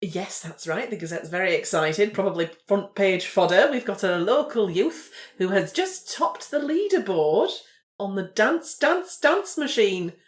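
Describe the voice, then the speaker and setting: A woman's voice: mid-range voice, lively intonation, unhurried, reverberant, close to an everyday voice. A woman voicing an effusive, over-enthusiastic female newspaper photographer in an improvised roleplay. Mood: chirpy, eager.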